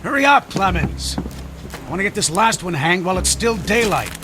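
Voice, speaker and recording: Barking, impatient army captain, animated pitch. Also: male voice, normal speed, music in the background. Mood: stern, impatient. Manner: barking, commanding. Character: stern, impatient army captain overseeing a hanging. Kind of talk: radio drama acting.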